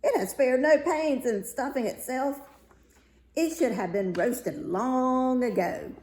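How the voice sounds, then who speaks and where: A woman voicing a brisk, matter-of-fact palace cook in a storybook tale. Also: high voice, expressive, a little mellow, voice pushed up, exaggerated voice. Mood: brisk agreement. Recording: roomy, echoey room sound.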